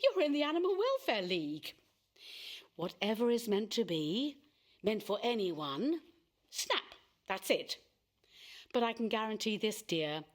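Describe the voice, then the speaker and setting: An actress playing a sensible, sharp-tongued middle-aged wife and mother in a radio play, brisk and chiding. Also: medium pitch, sing-song. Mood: exasperated, firm.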